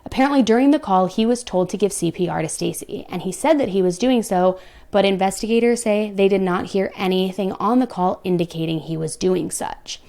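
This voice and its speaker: A woman's voice: medium pitch, brisk, vocal fry, mellow. A young woman hosting a true crime show, recounting a case and breaking off into blunt, exasperated asides to her viewers. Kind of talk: true crime storytelling with commentary.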